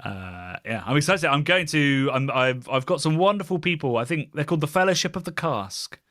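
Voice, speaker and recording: A man's voice: fairly high pitch, lively intonation, a little fast, fairly bright tone, dry recording, studio quality. A British man hosting a casual podcast, musing and rambling in a wry way. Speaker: podcast host, beer YouTuber.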